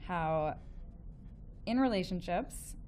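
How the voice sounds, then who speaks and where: A woman in her thirties with a Chicago accent hosts a call-in relationship show, talking in a chatty, enthusiastic way with many fillers and restarts. Her voice sits a little low, and a faint hiss of noise runs under it. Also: lively intonation, slow pace, a little mellow. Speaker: relationship expert and radio show host. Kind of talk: casual call-in radio chat.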